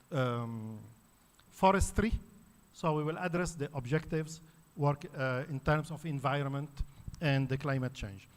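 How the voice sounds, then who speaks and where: A man from Lebanon, a native Arabic speaker, giving an academic conference talk in an explanatory way with frequent fillers and restarts. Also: a little high. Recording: reverberant hall.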